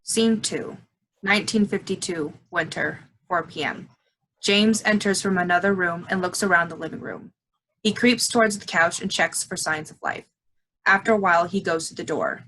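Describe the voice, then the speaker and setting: Bright-voiced woman reading stage directions. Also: fairly low pitch, normal speed, slight background noise, rough recording. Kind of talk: scripted narration of stage directions.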